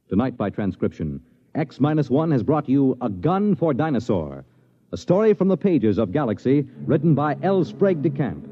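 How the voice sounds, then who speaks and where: A man with an American accent making formal 1950s radio announcements. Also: a little high. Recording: muffled 1950s radio broadcast, music behind.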